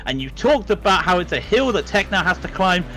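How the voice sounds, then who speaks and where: A man's voice: sits high, big pitch swings, a little fast, fairly bright tone, a little hiss or hum. A man doing live sim racing commentary, working through standings and calling out the grid order with frequent fillers. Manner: works out numbers aloud with many fillers.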